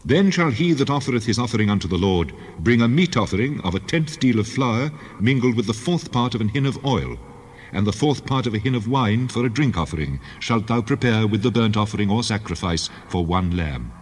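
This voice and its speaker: An actor playing God in a dramatized scripture reading, solemn, formal and commanding. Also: male voice, expressive, fairly quick, breathy edge. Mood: solemn, instructing. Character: God speaking law and judgement, solemn and commanding. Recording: long booming reverb.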